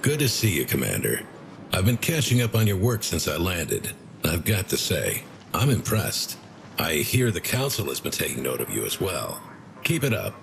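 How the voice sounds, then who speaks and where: An actor playing a frank, personable military officer in a video game, briefing in a wry, conversational way. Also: male voice, sits low, creaky, slight background noise, exaggerated voice. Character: gruff, personable male military officer, frankly weary of politicians. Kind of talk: casual in-character game briefing.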